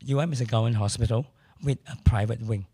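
Hoarse man, dry and candid. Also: medium pitch, fast talker, dark tone, dry recording. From Malaysia. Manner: candid, matter-of-fact, occasional restarts. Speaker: podcast guest. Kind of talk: casual conversation.

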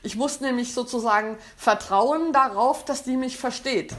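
A woman giving a thoughtful spoken talk, often pausing with fillers and restarting her sentences. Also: on the high side, fairly bright tone. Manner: hesitant, many fillers and restarts. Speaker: speaker on language and society.

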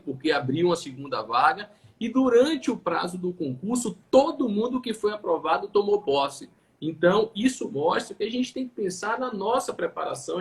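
A labor judge from Salvador, a man with a voice pitched a little above most men's. He talks in a reflective, conversational way in a live online chat. He sometimes stops and restarts his phrases. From Salvador, Bahia, Brazil.

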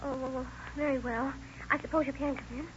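Actress as a nervous, high-voiced young girl, old radio. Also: steady tone, brisk, natural voice. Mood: wary, hesitant. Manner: hesitant, stammering. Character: nervous, evasive young girl, anxious to stay innocent. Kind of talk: 1930s radio acting. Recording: muffled noisy old-time radio recording.

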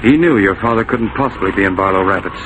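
An actor playing a steady, authoritative Mountie sergeant with a deliberate delivery in a 1940s American radio drama. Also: male voice, on the low side, close to an everyday voice. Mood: commanding. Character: steady, commanding Mountie sergeant, fond of his dog. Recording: noisy vintage 1940s radio recording.

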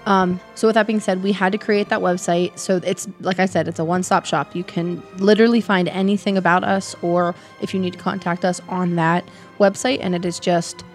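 A woman with a raspy voice co-hosting a podcast in casual chat, upbeat and full of fillers. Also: fairly low pitch, level tone, a little fast, hardly any pauses, soft background music. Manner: chatty, filler-heavy, upbeat. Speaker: podcast co-host.

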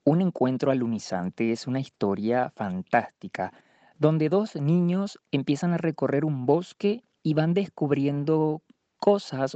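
A man presenting a story as workshop coordinator, in measured, halting phrases. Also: a little high, warm tone, no room sound. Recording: dull, dry lo-fi recording.

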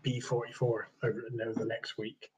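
A man's voice: medium pitch, little pitch movement, a little fast, a crowd in the background, rough recording. A man co-hosting a podcast, chatting casually with dry, cheeky banter. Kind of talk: casual chat.

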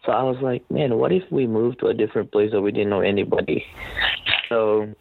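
A man in his twenties from the Philippines, a guest telling his story in a relaxed, rambling way with many fillers. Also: lowish voice, fairly quick, gravelly. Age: 20s. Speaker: podcast guest, reseller. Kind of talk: casual interview talk. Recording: tinny phone-like line, faint noise.

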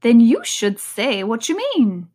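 A woman voicing a prickly, argumentative male march hare with a loud, pert delivery. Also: fairly high pitch, huge pitch swings, takes it slowly, breathy edge, pitched above the performer's own voice, full character voice. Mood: rude.

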